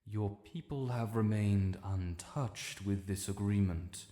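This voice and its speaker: A man's voice: fairly low pitch, mellow. Man voicing an ancient British dragon lord, cavernous reverb. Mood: grave, stately. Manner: formal, proud, measured and imperious. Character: ancient proud honorable male dragon lord, stern guardian. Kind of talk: fantasy audio roleplay addressed to the listener. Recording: roomy with long cavernous reverb.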